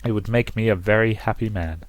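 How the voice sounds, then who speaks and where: A man voicing a gallant, grumbling older gentleman suitor in a visual novel, formal and mock-indignant. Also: fairly low pitch, brisk, no echo, natural voice, rough recording. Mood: earnestly courtly.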